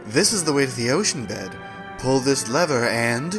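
Man hamming up an animated pulp adventure sidekick. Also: mid-range voice, moderate pace, natural voice. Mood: mock solemn. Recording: music behind the voice.

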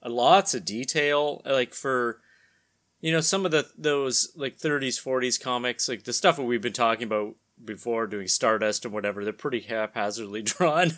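A man's voice: medium pitch, normal speed, fairly bright tone. A man co-hosting a podcast, chatting casually and turning theatrical when he reads comic-book narration aloud. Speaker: podcast co-host.

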